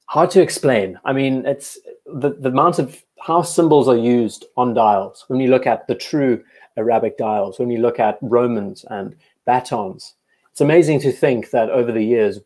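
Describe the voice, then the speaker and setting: A man's voice: medium pitch, warm tone. A man hosting a live watch stream, chatting casually and thinking aloud as he comments on viewers' photos, often restarting his sentences. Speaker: watch show host.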